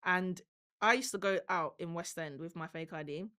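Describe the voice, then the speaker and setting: Bright-voiced London woman. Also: lowish voice, no echo, studio quality. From South London, England. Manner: animated, slangy, quick to laugh. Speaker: podcast host. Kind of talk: casual chat.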